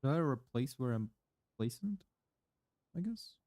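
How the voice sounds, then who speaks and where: Male streamer, mid-range voice, close dry mic; halting read-aloud with fillers and casual cursing. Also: medium pitch, very animated, a little mellow, dry recording. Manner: halting reading full of "uh" fillers and restarts, offhand swearing. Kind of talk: reading a product manual aloud, casual chat. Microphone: condenser microphone with pop filter, close.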